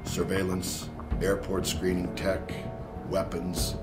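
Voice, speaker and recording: An actor playing a calculating corporate villain in a video game, speaking unhurriedly with mock sympathy and condescension. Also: male voice, deep voice, completely flat delivery, takes it slowly. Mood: smug. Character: smooth, calculating male corporate villain, coolly taunting. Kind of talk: video game cutscene acting. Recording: roomy echo, loud background music.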